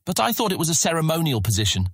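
A man's voice: fairly high pitch, big pitch swings, rapid-fire, fairly bright tone, breathy edge, no echo, clean studio sound, voice pushed up, exaggerated voice. A man playing a polite, puzzled young newcomer in a fantasy audiobook, hesitant and apologetic in manner. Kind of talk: fantasy audiobook character acting.

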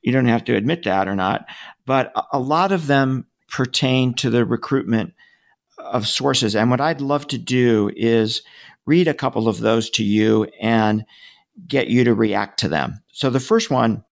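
Gravelly male interviewer. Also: mid-range voice. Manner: measured, reads passages aloud. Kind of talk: interview questions and book readings.

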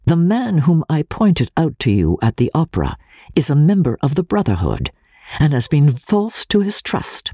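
A man narrating classic literature in the first person as a dramatic reading, in a measured, formal, deliberate manner. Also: fairly high pitch, huge pitch swings, warm tone, husky, no room sound, clean studio sound. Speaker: audiobook narrator.

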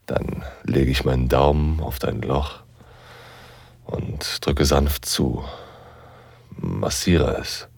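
A commanding, teasing professor with an extremely low voice and a gravelly fry. He narrates an erotic audio drama slowly and deliberately, intimate and at times breathy, in a dry, close recording. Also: steady tone, a little mellow, dry recording, full character voice. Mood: aroused, hushed. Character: dominant male university professor, seductive, teasing, commanding lover.

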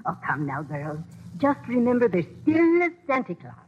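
A woman's voice: fairly high pitch, big pitch swings, normal speed. An actress playing a bossy but kindly old grandmother in a 1940s radio drama. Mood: soothing. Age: older. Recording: dull, noisy old radio recording.

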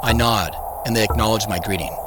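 A man's voice: lowish voice, brisk, very noisy, natural voice. An actor playing a reflective man who narrates his own story in a scripted first-person style. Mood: calm, wondering. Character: reflective man recounting his dreams and his search. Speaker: narrator and main character of an audio drama. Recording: music playing behind the voice. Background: music.

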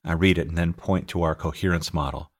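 A middle-aged American man reading his own audiobook as an author and teacher, telling anecdotes in an expressive, scripted style. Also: deep voice, fairly quick. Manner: expressive, anecdotal storytelling, acting out dialogue. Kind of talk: scripted narration, audiobook memoir.

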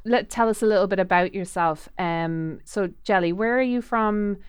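Chatty woman host, clear voice. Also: mid-range voice, normal speed, no echo, clean studio sound. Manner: chatty, effusive, trailing off and restarting. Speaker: podcast host. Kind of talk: casual interview chat.

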